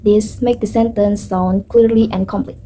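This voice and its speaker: A woman's voice: mid-range voice, steady tone, normal speed, dark tone, a little hiss or hum. Woman. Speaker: student. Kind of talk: class presentation on intonation.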